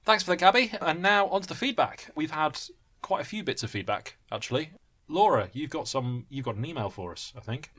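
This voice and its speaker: A man's voice: fairly high pitch, sing-song, fairly quick, pushed and bright. A man co-hosting a podcast in a casual, chatty way. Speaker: podcast co-host. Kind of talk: casual banter.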